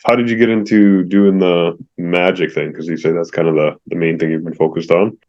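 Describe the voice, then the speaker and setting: A man's voice: sits low, level tone, fairly quick, a little mellow. A man hosting an interview podcast, friendly and affirming, with many fillers. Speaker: podcast host. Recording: slightly dull lo-fi audio.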